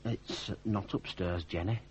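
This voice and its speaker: An actor playing a humble, soft, bumbling grown son in a radio drama, with a hesitant, apologetic Northern English delivery. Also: male voice, lowish voice, unhurried, slight background noise, natural voice. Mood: sheepish. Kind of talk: 1980s radio drama acting. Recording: dull lo-fi old radio recording.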